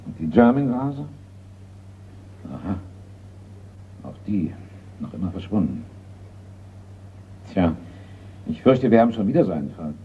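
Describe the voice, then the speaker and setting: Discreet art valuer, lowish voice, calm and measured, courteous but insistent, tinny old radio play sound. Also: male voice, slightly rough, exaggerated voice. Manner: composed, polite, measured, insistent. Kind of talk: German radio crime play acting. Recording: tinny, narrow-band radio recording, faint noise.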